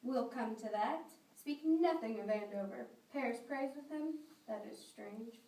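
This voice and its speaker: A woman's voice: on the high side, measured pace, dark tone, lightly acted. Woman as a stern colonial judge, distant and echoey. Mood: brusque, probing. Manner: curt, questioning, authoritative. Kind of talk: amateur stage-play acting. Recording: echoey room, distant mic, faint noise.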